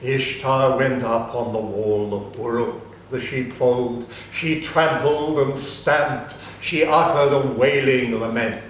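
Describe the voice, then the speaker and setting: A man narrating an ancient epic in a dramatic reading, slow and solemn. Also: medium pitch, steady tone, a little hiss or hum. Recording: echoey room, distant mic.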